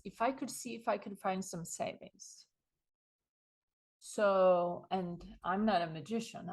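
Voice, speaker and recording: A middle-aged woman from Sweden with a Swedish accent, being interviewed and telling her story in a lively way with frequent small laughs. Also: mid-range voice. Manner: animated storytelling, chuckles often. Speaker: guest author. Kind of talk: conversational interview.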